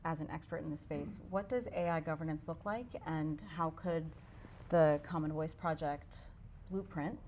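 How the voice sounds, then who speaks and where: A low-pitched woman hosts a live fireside chat interview, asking her questions in a measured, affirming way. Her voice comes through dull and lo-fi. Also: a little mellow, a little hiss or hum. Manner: measured, affirming, frequent "yep". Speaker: host, interviewer.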